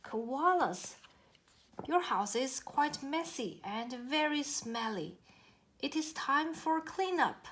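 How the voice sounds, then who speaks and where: Woman playing an earnest, high-pitched storybook animal friend. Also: animated, slow pace, fairly bright tone, a little hiss or hum, heavily acted. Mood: firm, encouraging. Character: Ginny and Penny, earnest bossy animal friends. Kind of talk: children's storybook character voice.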